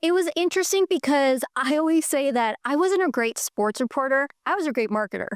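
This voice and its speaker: A middle-aged woman from Colombia, telling her career story in an animated, enthusiastic way as an interview guest. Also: sits high, a little fast, dry recording, studio quality.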